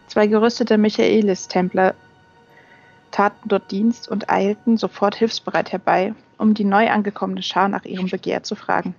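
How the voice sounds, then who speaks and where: A woman's voice: mid-range voice, gravelly. A woman reading a fantasy novel aloud as scripted audiobook narration. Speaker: audiobook reader. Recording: dull lo-fi sound, music behind. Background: music.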